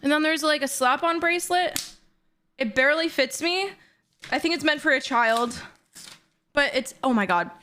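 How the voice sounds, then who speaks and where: Bubbly twenties woman streamer, high bright voice, squealy, excitable. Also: moderate pace, pauses often, slight background noise. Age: 20s. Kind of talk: casual livestream chat. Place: her bedroom.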